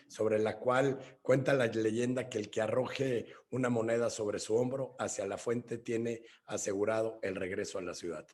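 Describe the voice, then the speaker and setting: A man's voice: fairly low pitch, a little mellow. A man hosting a webinar, reading a formal descriptive introduction. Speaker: webinar host.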